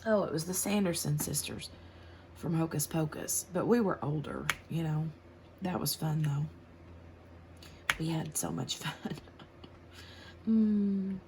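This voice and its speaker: American lady in her 40s chatting slow over a craft project, gravelly low-ish voice, a bit of background hiss. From United States. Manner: rambling, chatty, laughs easily. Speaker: craft channel host. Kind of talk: casual chat while crafting.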